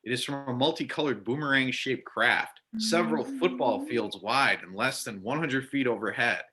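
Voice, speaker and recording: A man's voice: medium pitch, normal speed, a crowd in the background. A man reading scripted narration and stage directions for a readers theater play.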